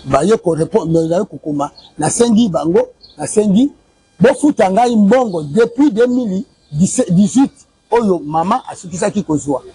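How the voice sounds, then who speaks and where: A man's voice: sits high, expressive, a little slow, dark tone, slightly rough, poor audio. A Congolese man in his forties, interviewed outdoors on a lapel mic, insistently recounting a family money dispute and repeating dates and sums. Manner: insistent, repeats dates and figures, waves documents. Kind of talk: street interview, recounting a complaint. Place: outdoor courtyard by a building.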